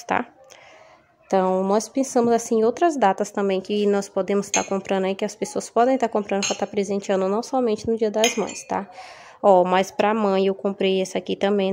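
A Brazilian woman with a mellow, slightly hoarse voice from a cold chats casually to her viewers. She keeps asking little tag questions as she goes. She is recorded on a phone in a home kitchen. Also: medium pitch, a little hiss or hum. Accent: Brazilian Portuguese. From Maranhão, Brazil. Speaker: vlogger. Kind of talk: casual haul vlog. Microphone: phone camera held over table.

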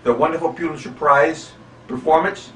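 A man's voice: a little high, steady tone, normal speed, background noise. A man wraps up his home puppet show with a mock-grand announcement. His voice sits far from the camera, with noticeable room echo. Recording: roomy home video from afar.

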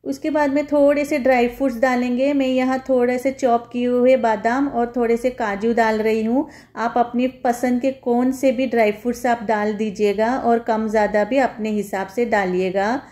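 A woman's voice: a little high, steady tone, moderate pace, few pauses, a little mellow. A woman home cook giving a step-by-step recipe voice-over, instructing viewers in a friendly, familiar form of address.

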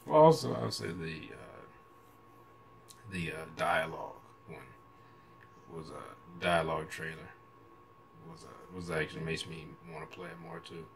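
A man's voice: rumbling low, lively intonation, unhurried, creaky, long pauses, slight background noise. An American man in his thirties giving casual, slangy reaction commentary in a rambling, hesitant way, recorded in a room at home. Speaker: reaction video host. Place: small room at home, indoors.

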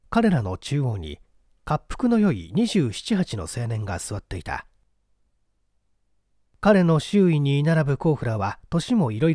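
A man's voice: medium pitch, a little mellow, no room sound, studio quality. A man reading a mystery story aloud as a dramatic, scripted narration. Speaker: audiobook reader.

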